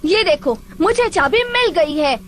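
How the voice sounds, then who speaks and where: A mischievous little cartoon creature with a squeaky, extremely high voice. The youngster talks in an excitable, earnest way, over faint background noise, as in an animated film dub. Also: female voice, measured pace. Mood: eager, scheming.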